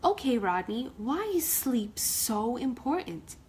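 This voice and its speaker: A woman's voice: fairly high pitch, sing-song, takes it slowly, darkish tone, a little hiss or hum. A woman voicing a patient, encouraging female bear schoolteacher in a storybook.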